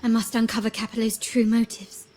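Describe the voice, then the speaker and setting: An actress playing a composed, determined young heiress agent in a video game, speaking in a measured, formal and deliberate way. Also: female voice, fairly high pitch, level tone, mellow, lightly acted. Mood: resolute.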